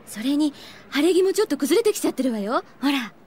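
A woman playing a friendly young student teacher, gentle, composed and lightly amused, in an audio drama. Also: high voice, very animated, fast talker, slight background noise. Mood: kindly. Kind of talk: Japanese audio drama acting.

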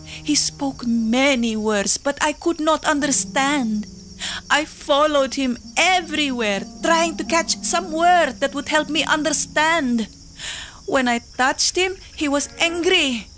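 An actress playing a proud, desperate young native woman in a full-cast audio drama, speaking in slow, poetic, impassioned pleas. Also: high voice, fairly bright tone, faint music behind. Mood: anxious.

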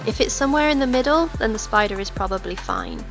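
A hoarse young woman, hosting her own channel and calmly explaining how to care for a pet. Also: a little high, fast, over music. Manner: steady explanatory delivery. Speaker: pet-keeping channel host. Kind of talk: informative pet-care narration. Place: home room with reptile tanks.

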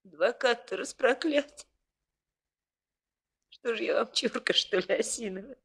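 Casting: fiery, hurt young bride for a Soviet radio play, high and piercing, earthy colloquial outbursts. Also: female voice, a little slow, a bit bright. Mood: indignant, defiant. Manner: emotional, colloquial, exclamatory outbursts.

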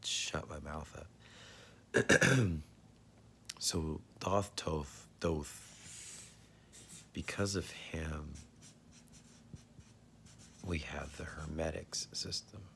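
Hoarse, very deep, gravelly man. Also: fairly even intonation, extremely slow, long pauses, fairly bright tone, slight background noise. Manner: often drops to a husky whisper, clears his throat. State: hoarse, losing his voice. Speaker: vlogger sharing religious theories. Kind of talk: casual home video monologue. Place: living room at home, indoors. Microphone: phone camera at arm's length.

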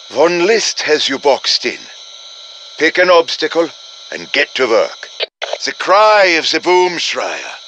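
An actor playing an urgent, commanding professor who briefs soldiers in a deliberate, dramatic manner, as video game voice lines. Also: male voice, a little high, sharp tone, a little hiss or hum, exaggerated voice, low-quality recording. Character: urgent, commanding male professor guiding soldiers against demons. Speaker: game character voice, mission guide.

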